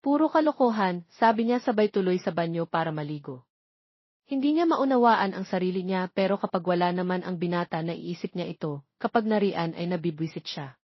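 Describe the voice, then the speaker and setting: A woman reading a scripted romance story as its narrator, with a husky voice. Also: lowish voice, a little fast, dry recording. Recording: dull lo-fi, close dry sound.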